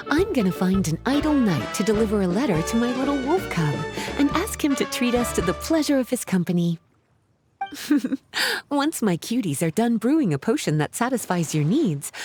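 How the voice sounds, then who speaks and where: An elegant, teasing lady mage for an anime game. She speaks in a fairly high, lilting voice that sways widely, doting and sultry in a motherly way. Music is clearly heard behind her. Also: very animated, moderate pace. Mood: pleased.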